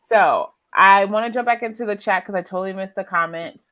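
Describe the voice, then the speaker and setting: A woman's voice: medium pitch, fairly quick, fairly bright tone. A woman hosting a book readalong livestream in an informal, chatty way, talking to co-hosts and viewers. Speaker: livestream host.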